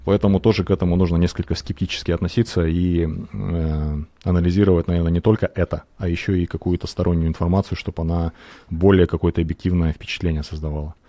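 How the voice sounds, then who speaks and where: Low, dark-voiced male radio host. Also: fairly even intonation, no room sound. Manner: measured, hesitant, explanatory. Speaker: radio show host, realtor. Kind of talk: educational radio talk. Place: radio studio. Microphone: studio microphone on arm, headphones.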